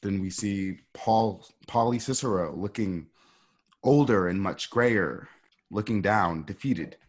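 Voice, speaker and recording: A man's voice: fairly low pitch, slow. A man reading scene directions as the narrator of a scripted drama.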